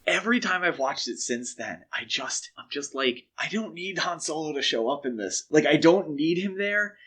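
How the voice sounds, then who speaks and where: A man's voice: on the high side, lively intonation, normal speed, a bit bright, a little hiss or hum. A man hosting a podcast, discussing films casually in a conversational, opinionated way. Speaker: podcast host.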